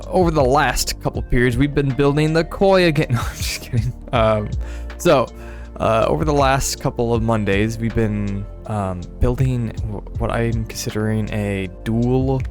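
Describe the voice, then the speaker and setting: A young man streaming a building game, talking in a casual, rambling way with many fillers and a slightly stuffy voice. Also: medium pitch. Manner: rambling, hesitant, frequent laughs and fillers. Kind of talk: casual gameplay commentary.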